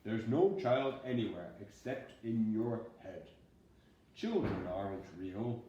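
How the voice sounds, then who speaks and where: A man voicing a gentle, tired father monster in a storybook, growling softly and soothingly. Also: lowish voice, expressive, dull audio. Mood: reassuring. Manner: soft growling, soothing, drawn-out. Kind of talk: storybook read-aloud character voice. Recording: very echoey room, distant mic, faint noise.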